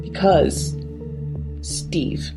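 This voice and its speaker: Slow, guarded woman, over music. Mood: insistent. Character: guarded, determined woman companion hiding a secret. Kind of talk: scripted audio drama dialogue. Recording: music behind the voice.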